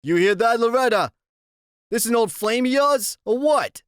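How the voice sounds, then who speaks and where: A reader voicing a tough middle-aged male biker gang boss who talks in a quiet, bullying, menacing way. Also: mid-range voice, expressive, normal speed, clear, resonant tone, no room sound, studio quality, voice pushed up, lightly acted. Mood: mocking.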